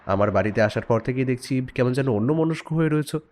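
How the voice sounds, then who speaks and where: Male storyteller, mid-range voice, highly animated intonation, scripted narration, audio story. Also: fast. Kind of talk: expressive scripted story narration with acted dialogue.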